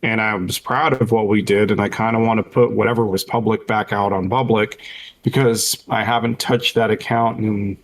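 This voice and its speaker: A man's voice: lowish voice, fairly even intonation, normal speed, low-quality recording. A man co-hosting a podcast, chatting casually in an informal, supportive way. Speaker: podcast co-host. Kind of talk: casual chat.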